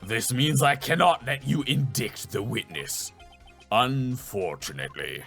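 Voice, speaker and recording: A man's voice: mid-range voice, expressive, a little slow, gravelly, a bit bright, faint music behind. A man voicing a stern, solemn elderly male judge in a video game, speaking with ponderous authority. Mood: solemn, inquiring. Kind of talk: video game character dub.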